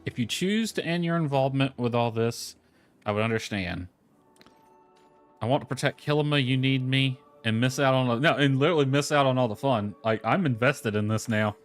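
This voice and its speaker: A man livestreaming a video game, talking casually to himself and reading game text aloud. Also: mid-range voice. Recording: music playing behind the voice. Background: lo-fi music.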